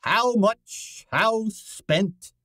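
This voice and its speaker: A man as a pompous, slow-talking grumpy detective. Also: sits high, pushed and bright, no room sound, studio quality, exaggerated voice. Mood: haughty, brusque. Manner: pompous, imperious, formal diction. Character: pompous, cantankerous gourmet detective, a large man. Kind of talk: old-time radio drama acting.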